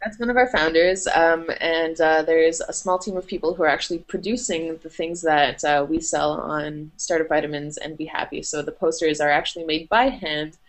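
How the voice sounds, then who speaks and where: Low-voiced young woman, chatty guest. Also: fairly even intonation, normal speed, hardly any pauses. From Canada. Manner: chatty, upbeat, many fillers and laughs. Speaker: content marketing manager, interview guest. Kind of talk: casual podcast interview.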